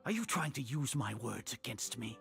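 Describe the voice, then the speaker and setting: A man's voice: mid-range voice, expressive, normal speed, gravelly, a bit bright, soft background music. An actor playing an imperious, suspicious older male grand sage in a video game, speaking formally and commandingly as he grows rattled. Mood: stern. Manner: commanding, formal, questioning himself aloud.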